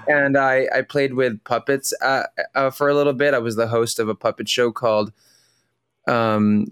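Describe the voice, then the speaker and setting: Smooth-voiced man, a chatty guest. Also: fairly low pitch, dry recording, studio quality. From Philippines. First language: Tagalog. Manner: friendly, chatty, with frequent restarts. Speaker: podcast guest, Broadway performer. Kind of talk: casual interview.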